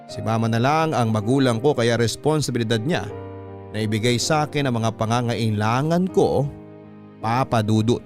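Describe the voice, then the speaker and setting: A man with a Filipino accent voicing a resentful, self-pitying student's personal story as measured, confiding radio drama narration. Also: medium pitch, over music, natural voice. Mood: aggrieved.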